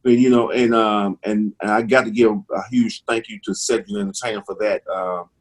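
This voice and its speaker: A middle-aged comedian from Mississippi with a strong Southern accent and a slight lisp, chatting casually in a laid-back, drawling way. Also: male voice, on the low side, steady tone, fairly quick, low-quality recording. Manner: laid-back, drawling, says "man" a lot. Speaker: stand-up comedian guest. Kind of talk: casual interview chat.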